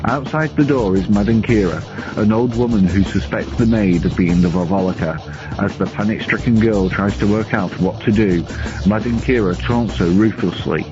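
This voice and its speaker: A man's voice: lowish voice, normal speed. A man reading a scripted film countdown as a guest reviewer. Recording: dull lo-fi recording under music. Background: music.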